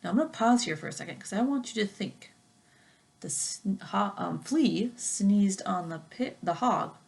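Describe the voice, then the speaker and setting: A woman reading a children's picture book aloud like a teacher, with expressive, rhythmic phrasing aimed at young listeners. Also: mid-range voice, moderate pace, vocal fry, breathy edge. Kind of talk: children's storybook read-aloud.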